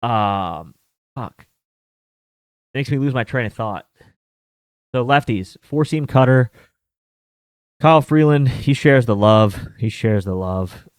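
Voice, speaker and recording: A man's voice: medium pitch, expressive, takes it slowly, pauses often, smooth, no room sound. Rambling man, dry close mic. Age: 30s. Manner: rambling, filler-heavy, with mild swearing. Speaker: sports betting host. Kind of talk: casual chat.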